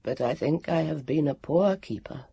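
A storybook narrator voicing a tall, skeletal male guardian who speaks slowly, sadly and apologetically. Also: mid-range voice, expressive, gravelly, a little mellow, breathy edge, no echo, pitched above the performer's own voice, full character voice. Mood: mournful. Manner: slow, mournful, apologetic.

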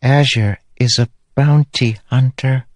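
A man's voice: lowish voice, big pitch swings, moderate pace, no room sound, voice pushed up. A small, childlike female spirit voiced by a male audiobook reader, playful and a bit vain. The voice is lifted well above the reader's own and moves expressively, recorded close and dry. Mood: sheepish, anxious.

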